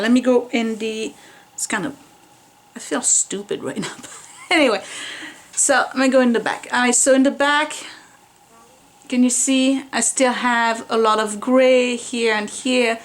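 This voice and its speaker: A woman in her forties talks to her camera at home by a window, chatty and candid. Her voice sits a bit high, and she rambles a little with fillers as she explains things. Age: 40s. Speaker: vlogger. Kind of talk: casual home hair-care vlog.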